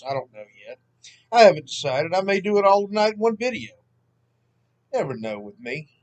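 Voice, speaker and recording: A man in his thirties with a Southern Mississippi accent, chatting casually at home as the host of a history channel, relaxed and rambling. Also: mid-range voice, rough recording. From Southern United States (Mississippi). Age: 30s. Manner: rambling, relaxed, self-deprecating. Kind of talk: casual vlog chat. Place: at home, sitting.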